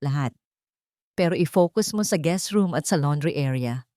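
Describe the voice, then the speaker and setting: Audiobook lead, read by a woman: proud Filipino male executive, demanding and suspicious, animated pitch, dry recording. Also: moderate pace, dry recording. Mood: commanding. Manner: terse, demanding. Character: proud male CEO, troubled, suspicious father.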